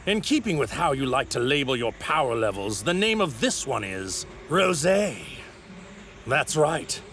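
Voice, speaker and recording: An arrogant evil god anime villain, super pompous, swoops up and down, gravelly edge. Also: male voice, medium pitch, big pitch swings, measured pace, a bit bright, slight background noise. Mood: gloating. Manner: pompous, theatrical, formal grandiose diction. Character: arrogant godlike male villain in a stolen warrior body. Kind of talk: English anime dub acting.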